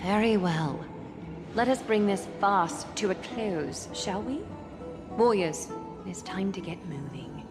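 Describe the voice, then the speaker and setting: A woman voicing a composed, regal leader in a video game, with formal, measured and authoritative delivery. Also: medium pitch, loud music behind the voice, close to an everyday voice. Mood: stern, weary.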